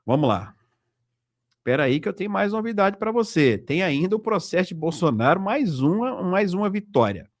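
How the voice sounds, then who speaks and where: A Brazilian man speaks in a fairly high voice, in a dry, close recording. He hosts informally, talking straight to his viewers and often checking in with short tag questions. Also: sing-song, moderate pace, no room sound. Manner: conversational, repetitive, direct address with frequent tag questions. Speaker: host of a political video channel. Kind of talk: informal commentary and donation appeal to viewers.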